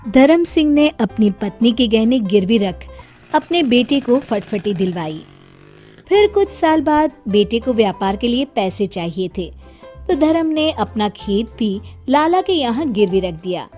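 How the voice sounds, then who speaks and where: A woman narrates a children's story in a slightly high voice, lively and animated in pitch. She takes her time over the scripted lines, with music playing softly behind her. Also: measured pace.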